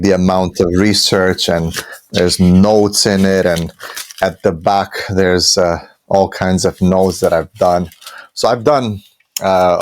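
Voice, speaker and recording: A man in his thirties with a Romanian accent, telling his life story as a podcast guest in a loose, conversational way full of fillers and restarts. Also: low-pitched. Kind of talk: personal storytelling.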